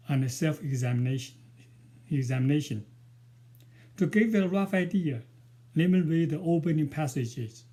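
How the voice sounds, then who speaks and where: A man in his sixties with a Chinese accent, Mandarin his first language, introduces his book before reading from it. He speaks very slowly and haltingly, with many pauses. His voice is mellow and sits a little high for a man. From China. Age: 60s. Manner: hesitant and careful, with frequent pauses and fillers. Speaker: author.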